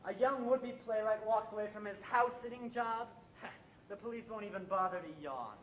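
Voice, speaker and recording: A man's voice: normal speed, lightly acted. A man playing a smug, scheming middle-aged playwright husband in a live stage play, with theatrical, sardonic delivery. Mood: flippant. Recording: echoey amateur stage recording, background rumble.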